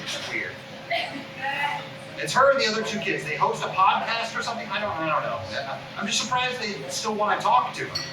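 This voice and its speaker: Comedy table read: man acting as weary older talent agent, dry and slightly halting, noisy distant recording. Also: sits high, lively intonation. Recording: echoey room, distant mic, noisy.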